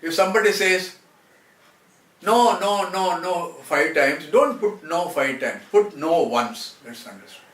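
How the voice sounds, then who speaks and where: A man from Karnataka with a South Indian accent and Telugu as his first language, rambling to viewers about his own life in a halting way with repeated phrases. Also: on the high side, expressive, fairly bright tone. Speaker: translator and former film actor. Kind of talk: rambling autobiographical talk to viewers. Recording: roomy, distant mic, faint background noise.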